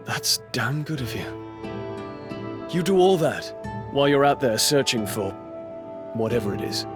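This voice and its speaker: Man voicing blunt friendly warrior buddy in a game, pitch moves around a lot, music behind. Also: expressive, unhurried, natural voice. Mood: approving. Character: casual, plain-spoken, observant male warrior companion. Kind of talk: video game cutscene acting.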